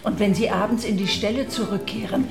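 A woman's voice: mid-range voice, steady tone, a little fast, creaky, reverberant, music in the background, natural voice. A woman playing a chatty, fanciful society baroness in a radio drama. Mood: amused, gossipy. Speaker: actor in a radio play. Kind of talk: German radio drama acting.

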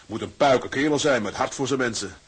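Casting: an earnest out-of-work sailor, radio drama, plain-spoken, husky edge, mid register. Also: male voice, mid-range voice, fairly quick, fairly bright tone. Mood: relieved, cheerful. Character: earnest young Dutch seaman husband, out of work, hopeful.

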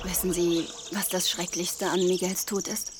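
An actress playing a disillusioned, melancholy widow back from exile in a German radio crime drama, speaking wistfully. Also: female voice, on the low side, little pitch movement, normal speed, background noise, lightly acted. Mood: bitter.

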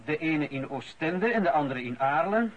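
An actor with a Flemish accent playing a reserved, slightly impatient witness in a radio drama. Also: male voice, a little high, animated, normal speed, muffled recording, slight background noise, lightly acted. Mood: defensive. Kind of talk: naturalistic radio drama acting.

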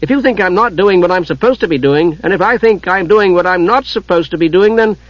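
Man voicing a chattering, carefree little kender, high-pitched. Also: fairly quick, no room sound, muffled recording, lightly acted. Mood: blithe, curious. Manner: rambling, chattering, blithely unbothered. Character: small, chatty, carefree, innocent male kender adventurer. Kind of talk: audiobook character voice.

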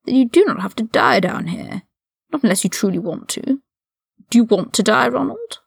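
A woman's voice: a little high, expressive, creaky, husky, dry recording, clean studio sound, voice pushed up, full character voice. Woman voicing a haughty, cold older aristocratic wizard. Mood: exasperated, insistent. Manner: condescending, measured, drawling formal speech. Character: older aristocratic male wizard prisoner, haughty and coldly mocking.

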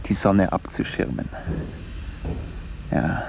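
An actor playing a wandering, brooding man who muses in fragments in a literary radio play monologue, his gravelly voice often sinking to a breathy near-whisper. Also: slow pace, lightly acted. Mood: musing. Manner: musing, fragmentary inner monologue. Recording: noisy radio recording with clicks.

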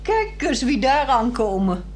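A woman's voice: high voice, very animated, measured pace, slight background noise. A woman with a Netherlands Dutch accent playing a bored, frank housewife in a 1960s radio drama, probing and pointed in a dry way. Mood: playful, pointed.

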